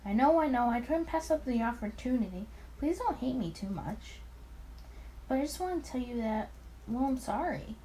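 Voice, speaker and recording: A woman's voice: a little high, expressive, normal speed, dark tone, a little hiss or hum, close to an everyday voice, rough recording. A woman voicing a teasing, affectionate young male detective partner in a visual novel. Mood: apologetic. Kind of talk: visual novel character dialogue.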